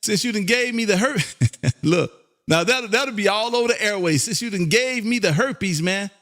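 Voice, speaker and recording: High-pitched, exuberant American man. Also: expressive, moderate pace, fairly bright tone. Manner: folksy, exuberant, repeats phrases for emphasis. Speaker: amateur radio host and operator. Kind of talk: live radio contact chat.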